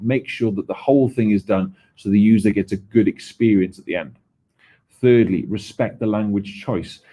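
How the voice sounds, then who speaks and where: A man's voice: on the low side, warm tone. A man from Wales, giving a conversational conference presentation on user research, with frequent ums and restarts.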